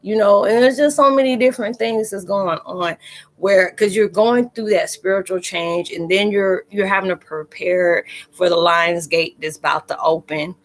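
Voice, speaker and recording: A woman's voice: medium pitch, moderate pace. A woman in her forties hosting a live stream, chatting casually and laughing as she answers viewer comments. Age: 40s. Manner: chatty and laughing, self-described psychic.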